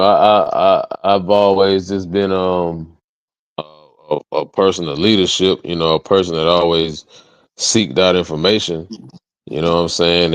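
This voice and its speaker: A man's voice: low voice, smooth, dry recording, low-quality recording. A man speaking casually as a music artist guest in an interview, motivational, emphatic and repetitive, with slangy tag questions.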